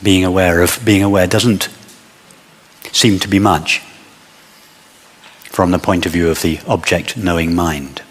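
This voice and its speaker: Very slow, low-voiced man guiding meditation. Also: fairly even intonation, in a large hall. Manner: measured, instructing in short phrases with long pauses. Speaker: meditation teacher. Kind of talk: guided meditation.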